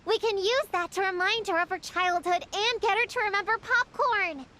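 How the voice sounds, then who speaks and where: A woman voicing a chatty, excitable childlike companion in a video game, with a perky, casual delivery. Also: very high-pitched, normal speed. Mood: excited, urging. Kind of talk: cartoonish video game voice acting. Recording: music playing behind the voice.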